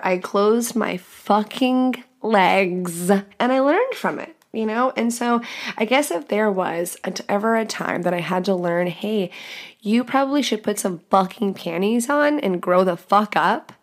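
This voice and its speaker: A young adult woman hosting a podcast, telling a crude story candidly and casually, laughing often. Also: mid-range voice. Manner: giggly, frank and crude, lots of laughing asides. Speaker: podcast host.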